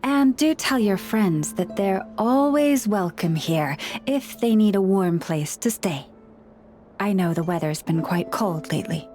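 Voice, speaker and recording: Gentle, caring woman of the forest, acted, music behind. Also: mid-range voice, lively intonation, close to an everyday voice. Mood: caring, gently chiding. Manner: gentle, soothing, measured. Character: gentle, caring woman who befriends and looks after forest animals. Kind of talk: video game character dialogue.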